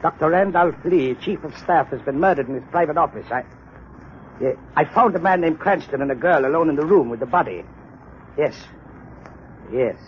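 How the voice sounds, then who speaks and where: An actor playing an officious, suspicious sanitarium laboratory chief in formal, clipped 1930s radio mystery style. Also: male voice, a little high, moderate pace, lightly acted. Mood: accusing, urgent. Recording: dull, noisy 1930s radio recording.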